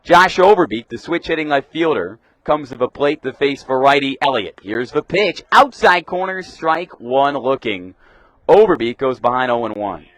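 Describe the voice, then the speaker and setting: A young man works as a radio baseball announcer, giving live play-by-play. His pitch is middling for a man and the sound is dry. He has a crisp commentary delivery and puts punch into each pitch call. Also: animated, normal speed, fairly bright tone, dry recording. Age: young adult.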